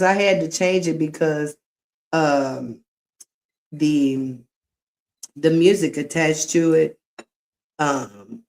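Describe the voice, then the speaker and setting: A woman's voice: deep voice, a little mellow. A woman livestreaming, giving casual commentary and talking straight to her viewers. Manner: slow, drawn-out talk, addressing viewers directly.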